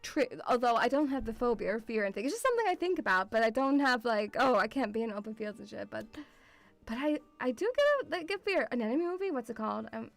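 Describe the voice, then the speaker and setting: A woman with a mixed European accent touched by Scottish, chatting casually as a livestream host in an excitable, rambling, sweary way. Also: fairly high pitch, fast talker, vocal fry. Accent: mixed European with Scottish influence. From Lithuanian-Russian background, partly grew up in Scotland.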